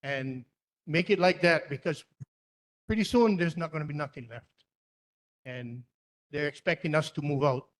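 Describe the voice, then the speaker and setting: High-voiced, defiant man. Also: moderate pace, long pauses. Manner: plainspoken, rambling, defiant. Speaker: public testifier. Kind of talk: public meeting testimony. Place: community meeting room.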